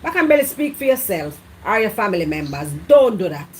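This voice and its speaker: A Jamaican woman talks in thick Patois on a live stream, animated and outspoken as she jumps into the chat. Her voice sits mid-range for a woman, with a faint hiss of noise in the background. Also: medium pitch. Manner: animated, speaks in patois, quick to take offense.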